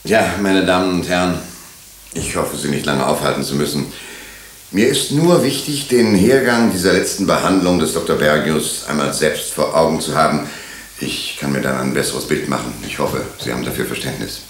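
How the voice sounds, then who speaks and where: An actor playing a police inspector in a radio drama, courteous but probing and insistent. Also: male voice, rumbling low, normal speed, slight background noise. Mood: polite, commanding. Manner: courteous, probing, pointed questioning.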